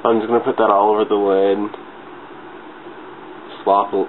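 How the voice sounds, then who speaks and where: A man's voice: on the low side, little pitch movement, moderate pace. A man with a congested, stuffy voice from a cold, chatting casually and a little loosely through a makeup tutorial. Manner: informal, giggly, loosely rambling. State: has a cold. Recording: muffled phone-like webcam-style audio, clear noise.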